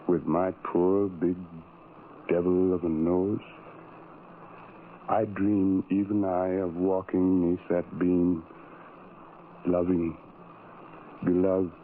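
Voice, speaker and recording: An actor playing a sorrowful, self-doubting outcast in an old-time radio drama, halting and brooding with heavy pauses. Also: male voice, sits low. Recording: tinny, noisy old radio recording.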